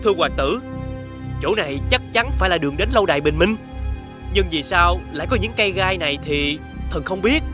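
A man's voice: high-pitched, animated, brisk, over music. A man voicing a dutiful, deferential royal soldier in a children's cartoon, formal and obedient.

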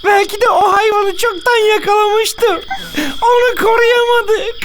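A woman's voice: extremely high pitch, level tone, a little slow, very noisy. A woman voicing a bold, eager child ringleader in a children's cartoon, with an emphatic, take-charge delivery. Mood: worried. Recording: loud music bed under the voice.

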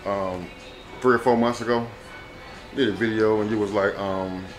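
A man doing a casual street interview, recapping and questioning in a halting, repetitive way. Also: lowish voice, fairly even intonation, faint music behind, poor audio.

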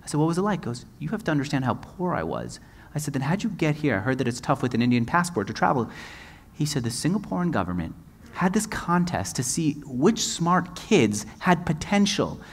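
Panel moderator, male, lively storyteller who quotes others, headset mic on a conference stage. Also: a little high, fairly quick. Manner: expressive, gestures broadly, quotes others in dialogue. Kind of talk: animated onstage storytelling. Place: conference stage before a large audience.

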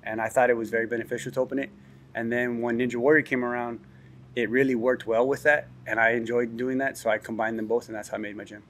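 A man in his thirties sits for a documentary interview inside a training gym. He explains his ideas earnestly at a fast pace, in a mid-pitched voice. His sentences are full of fillers and restarts. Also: flat delivery, fairly bright tone, slight background noise. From Texas and Chicago. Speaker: gym owner and ninja athlete.

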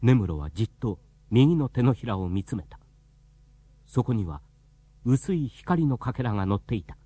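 A man's voice: mid-range voice, animated, moderate pace, dark tone, no echo, lightly acted. A man narrating a scripted radio drama as the storyteller of an eerie tale. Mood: solemn.

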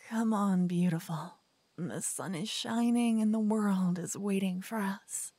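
A woman voicing a cocky, playful lover who whispers and teases softly in an ASMR roleplay. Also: medium pitch, no room sound. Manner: playful, teasing, soft whispering. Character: cocky playful young woman, a devoted lover waking her partner. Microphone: binaural mic.

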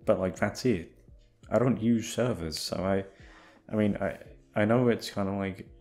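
A man's voice: lowish voice, moderate pace, a little mellow, soft background music. A young man chatting casually to his listeners in a rambling, hesitant way, swearing freely and putting himself down as he repeats himself. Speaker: audio roleplay voice actor.

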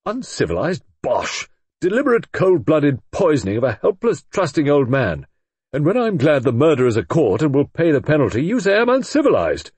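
A man voicing an excitable, vengeful middle-aged Englishman who talks loudly and indignantly. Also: a little high, moderate pace, husky, no room sound, pitched above the performer's own voice, full character voice. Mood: outraged. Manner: loud, blustering, indignant.

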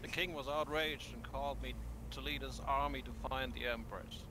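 A man's voice: mid-range voice, steady tone, normal speed, close to an everyday voice. A man playing a loyal, grave beastman army commander, telling a tale formally in online game role-play. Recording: noisy amateur game voice chat.

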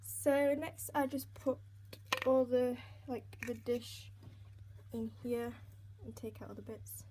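A young woman with a slightly croaky voice, chatting casually as she narrates each step of a pet-care routine while filming on a handheld phone at home. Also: fairly high pitch, steady tone, slow pace. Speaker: pet keeper vlogger. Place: indoors at home by snail tanks. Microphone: handheld phone camera. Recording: noisy home phone recording.

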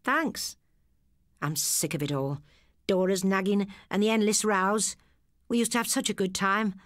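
A woman's voice: medium pitch, unhurried, no room sound, studio quality, lightly acted. A woman voicing a tender-hearted, wistful young English girl in a storybook tale. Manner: wistful, expressive.

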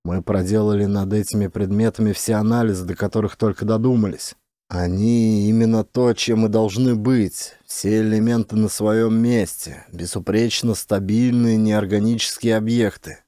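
A man's voice: on the low side, steady tone, normal speed, warm tone, no room sound, clean studio sound, lightly acted. A man voicing a hard-bitten, wry male space officer in an audiobook, terse and emphatic. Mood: puzzled, insistent.

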